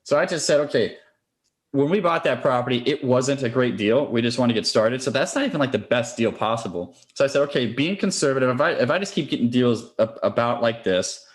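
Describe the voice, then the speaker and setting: A man's voice: medium pitch, expressive, brisk. A man speaking as a podcast interview guest, a real estate investor explaining things conversationally and step by step.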